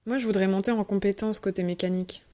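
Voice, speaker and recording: A woman's voice: mid-range voice, fairly even intonation, quick pace, mellow, natural voice. A woman playing a keen, agreeable learner in a workshop, in an audio drama. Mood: eager. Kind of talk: audio fiction dialogue.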